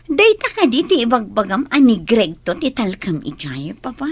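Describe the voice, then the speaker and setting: Headstrong young Filipino daughter, high voice, husky edge, very animated, radio drama. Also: female voice, normal speed, no room sound, exaggerated voice. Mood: curious. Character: headstrong lovesick grown daughter, a restaurant boss. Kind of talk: Ilocano radio drama acting.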